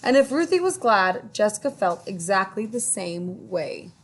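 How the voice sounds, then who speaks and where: A woman teacher reading a picture book aloud to children, gently stopping to ask them questions. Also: medium pitch, sing-song. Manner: gentle, pauses to ask young listeners questions.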